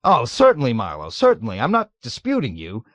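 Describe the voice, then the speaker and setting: A man voicing a jovial, nervous middle-aged colonel who gushes loudly and is eager to please. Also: medium pitch, wildly swooping pitch, a little fast, no room sound. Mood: gushing. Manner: loud, gushing, eager to please.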